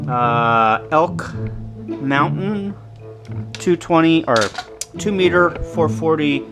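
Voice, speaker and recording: Guy vlogging casually, slow halting way of listing stuff, guitar music playing behind him. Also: mid-range voice. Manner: halting, list-like delivery. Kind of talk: casual show-and-tell to camera.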